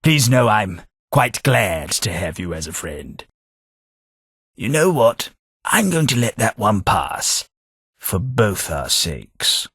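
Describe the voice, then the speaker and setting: An actor playing a melancholy, formal old British former taxman in a video game, husky and measured in his wordy speech. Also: fairly low pitch, sing-song, a bit bright, dry recording, studio quality, heavily acted. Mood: polite, hesitant. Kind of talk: video game character dialogue.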